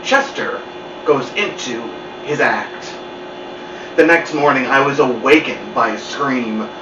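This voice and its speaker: A man reading a children's story aloud with expressive, dramatic narration. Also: mid-range voice, takes it slowly. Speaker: host, storybook reader. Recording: muffled, roomy, distant mic with noise.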